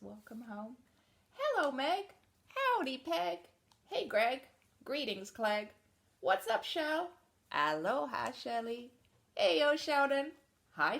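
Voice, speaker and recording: A woman reading a picture book aloud to children in an expressive read-aloud manner, dropping to soft, chatty asides about the pictures. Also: high-pitched, gravelly. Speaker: storybook reader.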